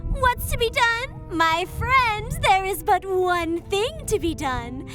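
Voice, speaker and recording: A woman's voice: very high voice, lively intonation, slow pace, music in the background, heavily acted. A woman playing a prim, eager lady ghost in an audio drama, speaking in flowery, formal, earnest phrases. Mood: earnest, persuasive. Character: prim, eager, polite lady ghost, persuasive committee recruiter. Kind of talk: theatrical scripted audio drama.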